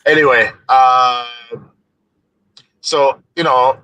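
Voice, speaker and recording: A man from Canada, co-hosting a rideshare live stream in casual, halting chat. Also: medium pitch, fairly even intonation, sharp tone, no echo, rough recording. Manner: halting, restarts often. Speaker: rideshare podcast co-host.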